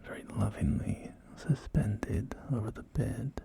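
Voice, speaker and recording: A whispered, breathy ASMR roleplay voice playing a polite, genteel real estate agent, unhurried and hesitant, with refined phrasing. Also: mid-range voice. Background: soft music.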